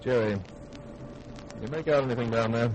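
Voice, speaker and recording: An actor playing a steady spaceship commander in a 1950s radio drama, brisk and authoritative. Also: male voice, medium pitch, natural voice. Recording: faintly hissy 1950s radio recording.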